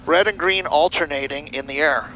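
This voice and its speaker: A man's voice: a little high, moderate pace, dull audio. A middle-aged or older man, a pilot, explaining and quizzing in a casual way on a headset. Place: small airplane cockpit. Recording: loud airplane cabin and engine noise.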